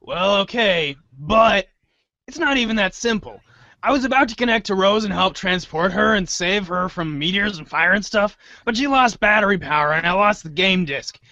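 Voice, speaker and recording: Man playing an excitable, high-pitched dorky teenage boy. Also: moderate pace, no echo, dull audio, exaggerated voice. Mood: flustered, earnest. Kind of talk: read-along storybook reader's theater acting.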